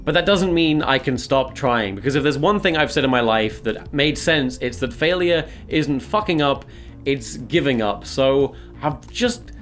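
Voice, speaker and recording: A man of average pitch narrates a personal, confessional vlog. He comes across as self-deprecating, and music plays underneath his voice. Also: expressive, a little slow, a bit bright. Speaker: video creator documenting his weight loss.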